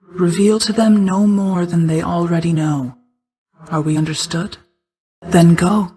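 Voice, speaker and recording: A woman playing a calm, motherly goddess of night in a video game, speaking in a measured, formal and stately manner. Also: fairly low pitch, lightly acted, rough recording. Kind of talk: scripted video game character lines.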